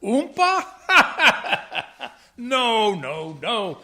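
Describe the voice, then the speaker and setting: Man acting as desperate ageing musician father, radio comedy; high, swooping voice, melodramatic and flustered. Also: wildly swooping pitch, extremely slow, pushed and bright, heavily acted. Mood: panicked. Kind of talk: comic radio play acting.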